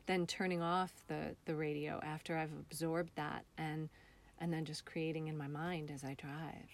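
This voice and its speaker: Woman with a slightly low voice. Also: level tone, normal speed. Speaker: playwright and director, podcast guest. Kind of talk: casual interview conversation. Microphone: computer call, also recorded on her phone.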